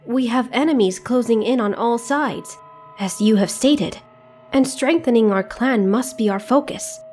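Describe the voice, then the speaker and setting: A woman's voice: on the high side, measured pace, darkish tone, faint music behind, lightly acted. A woman playing a proud, ruthless ninja clan leader in a motion comic fan dub, speaking in a formal, imperious and menacing way. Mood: cold, insistent.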